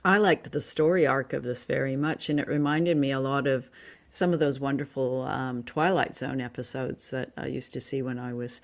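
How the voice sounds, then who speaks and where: A woman's voice: a bass voice, fairly even intonation, normal speed, vocal fry. An older woman, in her sixties or beyond, co-hosting a podcast with enthusiastic, conversational reviewing. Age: 60s or older. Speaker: podcast co-host. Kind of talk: conversational review of old radio drama.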